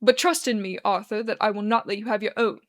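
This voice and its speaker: A woman voicing a stern, pious, fatherly male religious mentor in a dramatic story, earnest and forceful. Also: mid-range voice, fairly even intonation, fast, natural voice. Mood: pleading, firm.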